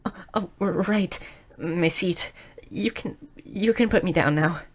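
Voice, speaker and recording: A woman's voice: lowish voice, unhurried, breathy edge. Woman playing a shy, stammering young goblin girl. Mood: flustered. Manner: stammering, hesitant, earnest. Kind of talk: audio roleplay acting, intimate romantic. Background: music.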